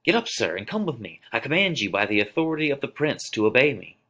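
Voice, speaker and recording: A man's voice: medium pitch, big pitch swings, quick pace, a bit bright, voice pushed up. A man voicing an officious watchman, urgent and commanding, in a dramatic play reading.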